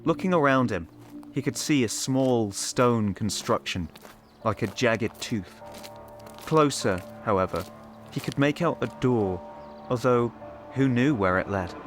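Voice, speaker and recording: A man's voice: mid-range voice, animated, unhurried. A man reading a third-person horror story as a scripted narration, with music behind him. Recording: music under the voice.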